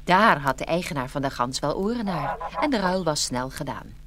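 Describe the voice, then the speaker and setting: A woman narrating a children's fairy tale from a script in an expressive storytelling manner. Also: low voice, moderate pace, crowd noise.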